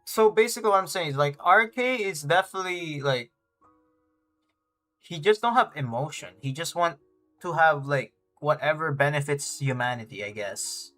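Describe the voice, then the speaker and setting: A man streaming a game, thinking aloud in a casual, slangy, halting way. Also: on the high side, lively intonation, sharp tone. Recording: game music behind the voice.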